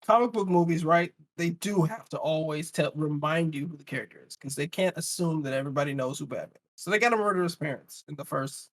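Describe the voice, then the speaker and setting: A man's voice: on the high side, fast, few pauses, poor audio. A man in a casual panel discussion, arguing his points emphatically and throwing out rhetorical questions. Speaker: podcast panelist.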